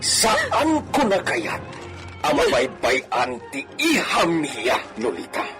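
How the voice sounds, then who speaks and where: A man's voice: medium pitch, wildly swooping pitch, loud music behind the voice. A man with an Ilocano accent playing a domineering, volatile villa master in a radio drama. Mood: accusing, pained. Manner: slow, theatrical, swinging from soft to shouting.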